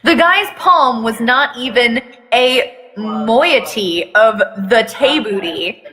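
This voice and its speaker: A woman's voice: a little high, pushed and bright, long reverb tail, poor audio. A woman giving a theatrical, mocking dramatic reading of a story, breaking off for sarcastic asides. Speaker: fan fiction reader on a live video.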